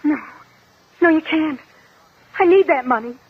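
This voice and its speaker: An actress playing a spoiled, theatrical young heiress niece in 1950s radio drama style. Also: female voice, sits high, unhurried. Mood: desperate. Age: young adult. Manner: theatrical, pleading. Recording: dull lo-fi old radio recording.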